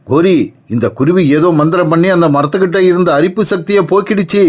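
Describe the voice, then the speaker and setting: A man's voice: sits high, fast. A man voicing a scheming, greedy male crow in a cartoon, blustering and demanding. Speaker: cartoon voice actor. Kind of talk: animated cartoon voice acting.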